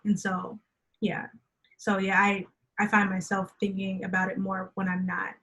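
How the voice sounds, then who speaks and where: A young woman who stutters, speaking slowly and in a flat, even tone. She answers in an honest, reflective way, with repeated words and fillers. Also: mid-range voice, low-quality recording. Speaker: guest. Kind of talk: conversational interview.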